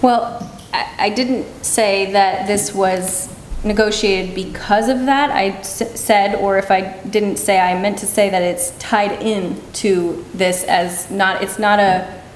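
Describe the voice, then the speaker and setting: A woman serving as a town's legal counsel, speaking carefully and with measured restarts at a public board meeting. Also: medium pitch, fairly even intonation. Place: town meeting hall. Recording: echoey hall, faint noise.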